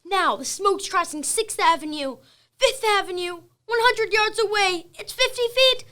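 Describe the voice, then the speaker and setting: Young female performer as frightened eyewitness newscaster for radio play, very high voice, tense bulletins of a falling city. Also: normal speed, bright, forceful tone. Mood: panicked, hopeless. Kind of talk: radio drama eyewitness report.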